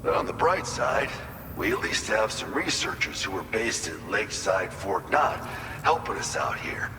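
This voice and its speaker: A man's voice: on the low side, big pitch swings, moderate pace, echoey room, long reverb tail, music in the background. An actor playing an earnest, wistful male colonist in a video game, talking in a sincere, conversational and folksy way. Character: earnest, wistful male colonist grieving his lost brother. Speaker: game character briefing the player. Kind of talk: cinematic video game dialogue.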